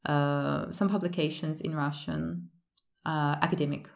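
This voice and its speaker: Woman, from Australia, low gravelly voice, hesitant and thoughtful, echoey room. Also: steady tone, a little slow. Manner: hesitant, many fillers and restarts, thoughtful. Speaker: interviewee. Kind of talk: casual interview conversation.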